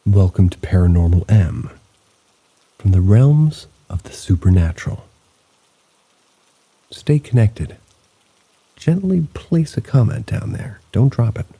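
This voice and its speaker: A man hosting a channel of paranormal stories, reading listener accounts aloud in a measured, low-key storytelling manner. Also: big pitch swings, dark tone, husky, low-quality recording. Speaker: host and narrator.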